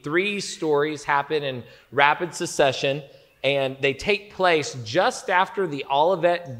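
A man in his thirties, a pastor, co-teaching a sermon with a slightly scratchy voice and an energetic delivery in a large church auditorium. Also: medium pitch. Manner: energetic, gestures while speaking. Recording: long hall reverb.

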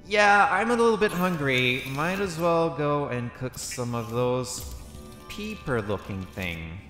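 A man's voice: fairly high pitch, lively intonation, slow pace, sharp tone, soft background music. A man thinking aloud in character while he plays a survival game, musing and hesitant as he goes. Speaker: roleplay gaming channel host.

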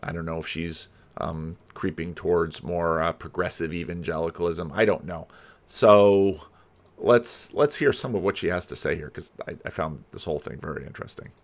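An older man giving casual, rambling commentary as a host, halting and thinking aloud. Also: deep voice. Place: home room lined with books. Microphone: desk microphone, close, with headphones.

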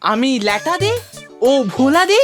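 A woman's voice: high-pitched, big pitch swings, moderate pace, faint music behind. A woman voicing an aggrieved, demanding school-age boy in a cartoon, complaining insistently. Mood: indignant. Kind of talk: animated cartoon dialogue.

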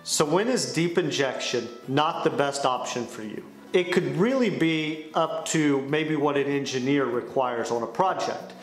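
A young man presenting a scripted company explainer to camera in a small indoor studio. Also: fairly high pitch. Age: young adult. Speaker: sales director presenting a company explainer video. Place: small indoor studio with dark wall panels. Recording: roomy echo on the voice.

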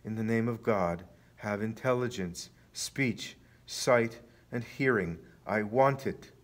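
A man's voice: lowish voice, takes it slowly. Guy reading as a solemn holy healer in a storybook, kindly but stern, declaiming with authority. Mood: commanding. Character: Jesus, commanding authoritative healer, kindly yet stern man.

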